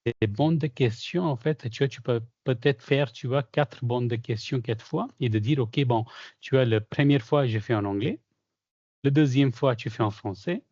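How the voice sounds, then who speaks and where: A man talks through his experience in a relaxed, halting way, with lots of fillers and restarts. French is not his first language. His voice is dry, with no room echo, and comes through a muffled, lo-fi call. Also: medium pitch. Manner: hesitant, many fillers and restarts. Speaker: certified former trainee sharing his experience. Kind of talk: casual testimonial in a video call Q&A.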